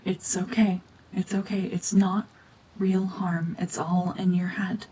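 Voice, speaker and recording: A woman acting a cold, domineering mutant witch in an ASMR roleplay drama, hushed and often whispering, with terse, guarded commands. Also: on the low side, little pitch movement, slow pace, slight background noise, poor audio. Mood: reassuring. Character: renegade mutant cyborg gorgon witch, female, cold, domineering, slowly softening.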